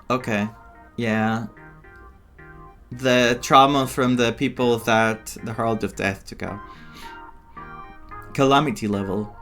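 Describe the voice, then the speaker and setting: A man's voice: medium pitch, a bit bright. Man doing game commentary over music. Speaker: gameplay streamer reading and reacting. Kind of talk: casual playthrough commentary with reading aloud. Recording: music playing behind the voice. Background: game music with guitar.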